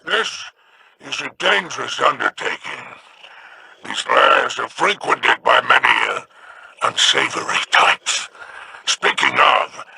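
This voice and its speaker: An actor voicing a sly, scheming male alien crime lord in a video game, gravelly and wry with insinuating remarks. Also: mid-range voice, bright, forceful tone, heavily acted, low-quality recording.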